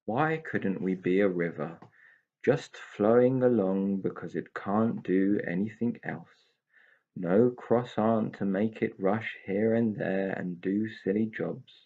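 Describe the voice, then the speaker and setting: A man's voice: lowish voice, slow pace, mellow, muffled recording. A man voicing a grumbling, idle, self-pitying pixie in a children's storybook, in a gloomy, whining way.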